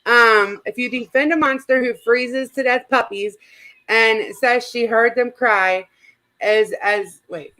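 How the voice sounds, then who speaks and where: A woman's voice: a little high, steady tone, slow, sharp tone. A woman hosting a casual, gossipy chat and reading comments aloud in an informal, giggly manner. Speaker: host.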